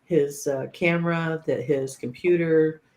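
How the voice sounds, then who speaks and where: A man in casual conversation, telling stories haltingly and recalling details piece by piece. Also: on the high side, steady tone, a little mellow.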